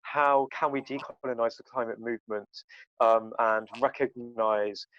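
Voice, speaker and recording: Male panel moderator, mid pitch, careful and hesitant, dry and slightly muffled audio. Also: fairly even intonation, unhurried, a bit bright, smooth, no echo. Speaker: panel host.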